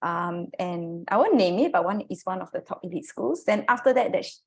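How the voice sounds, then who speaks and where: A woman's voice: lowish voice, expressive, a little fast, a little mellow, breathy edge. A woman from Malaysia co-hosting a podcast, candid and joking about herself. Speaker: podcast co-host. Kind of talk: casual podcast conversation.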